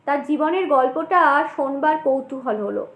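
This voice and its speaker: A woman's voice: high voice, normal speed, roomy sound. A woman narrating a horror story in a dramatic, suspenseful, theatrical style.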